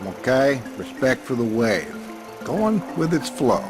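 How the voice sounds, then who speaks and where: An actor playing a mellow male surfer mentor giving easygoing advice in a game. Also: mid-range voice, very animated, takes it slowly, music in the background. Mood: laid-back.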